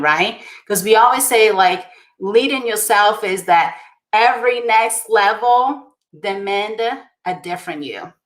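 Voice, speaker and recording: A woman's voice: lowish voice, a bit bright. A woman with a Brazilian Portuguese accent tells her personal story as a guest on a talk show. Her delivery is earnest and insistent. She stacks up repeated phrases and keeps checking in with "right?". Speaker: guest author.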